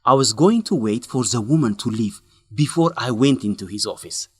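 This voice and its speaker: An actor playing an earnest male journalist in a radio drama, speaking expressively in simple, deliberate sentences. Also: mid-range voice, expressive, darkish tone, breathy edge, dry recording, clean studio sound. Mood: serious, recounting. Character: earnest young male journalist breaking bad news to a friend. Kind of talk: English-learning radio drama acting.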